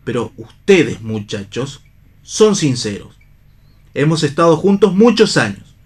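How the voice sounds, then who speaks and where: A man's voice: on the high side, big pitch swings, unhurried, darkish tone, breathy edge, full character voice. An Argentine man playing a bitter, idealistic, disillusioned stage actor in a radio theatre reading. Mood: impassioned, oratorical.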